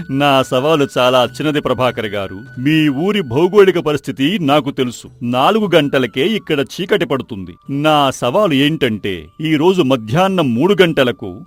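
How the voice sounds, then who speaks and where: A man voicing a proud, scheming rich townsman in an animated moral story, boastful and taunting. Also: mid-range voice, unhurried. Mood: smug, taunting. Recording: background music under the voice.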